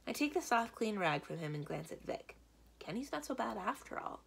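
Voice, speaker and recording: A woman's voice: mid-range voice, sing-song, normal speed, creaky, breathy edge. A woman reading a children's book aloud as a library storyteller, narrating expressively.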